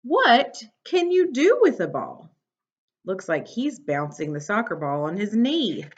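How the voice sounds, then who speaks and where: A woman's voice: mid-range voice, sing-song, unhurried. A woman teacher reading a picture book aloud to young children, instructing and prompting them with questions. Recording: dull, lo-fi sound.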